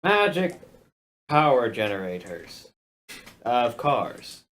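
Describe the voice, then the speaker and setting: A man's voice: mid-range voice, very animated, takes it slowly, fairly bright tone, slight background noise. Pedantic man playing a tabletop game. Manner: pedantic, eager quips. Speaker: player. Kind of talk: casual tabletop play.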